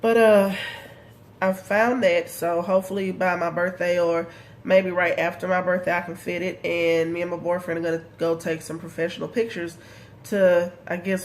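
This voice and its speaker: A woman's voice: fairly low pitch, a little hiss or hum. Stuffy-nosed woman chatting casually on camera. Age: 30s. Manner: chatty and rambling, many ums and likes. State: congested from a head cold. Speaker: vlogger. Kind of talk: casual video diary update.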